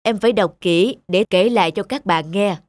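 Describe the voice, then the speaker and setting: A woman voicing a kindly, concerned male schoolteacher in a children's cartoon dub, speaking gently and earnestly. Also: medium pitch, moderate pace, dry recording, close to an everyday voice. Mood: kind, proud.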